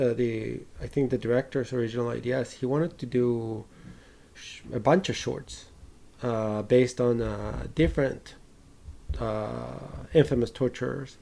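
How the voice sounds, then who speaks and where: A man co-hosting a film podcast, talking casually and haltingly, with some informal swearing. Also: mid-range voice, gravelly, dark tone.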